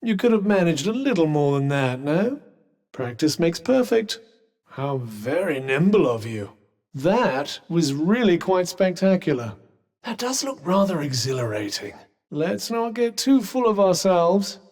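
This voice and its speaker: A sardonic talking magic bracelet from a video game, full of dry, condescending teasing in arch, formal phrasing. His pitch sits fairly high and swoops lively through each line. A long reverb tail trails after every word. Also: unhurried, exaggerated voice.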